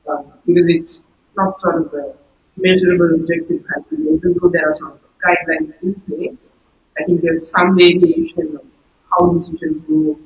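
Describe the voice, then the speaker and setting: A young man from Sri Lanka answers carefully and analytically, his voice high and swooping, with gravelly fry at phrase ends. The sound is dull and lo-fi. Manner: measured, analytical. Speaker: student debater. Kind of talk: reflective interview answer.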